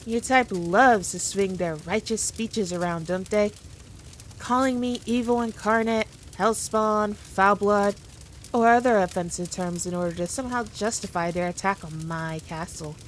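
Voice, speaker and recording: A woman acts out a proud, playful demon queen in an audio roleplay. She speaks straight to the listener with condescending, teasing authority, at a middling female pitch, over faint background noise. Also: measured pace, lightly acted, rough recording. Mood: mocking. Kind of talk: scripted roleplay monologue addressed to the listener.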